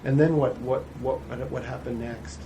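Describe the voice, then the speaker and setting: A man's voice: medium pitch, fairly even intonation, moderate pace, dark tone, slight background noise. A man interviewing a veteran, asking questions with hesitant restarts. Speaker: oral history interviewer.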